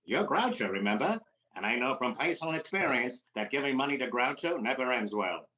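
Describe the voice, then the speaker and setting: A sly middle-aged wisecracker, bright lively voice, old-radio comic timing. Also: male voice, mid-range voice, poor audio. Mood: wisecracking. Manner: quick wisecracks, sly teasing delivery. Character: wisecracking fast-talking comedian, male, middle-aged. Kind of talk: old-time radio comedy recreation.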